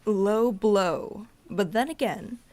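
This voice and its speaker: A woman's voice: medium pitch, takes it slowly, mellow, close to an everyday voice. A woman playing a snide office rival in an audio drama, teasing with dry sarcasm. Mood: smug teasing. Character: snide, smug desk-bound rival colleague.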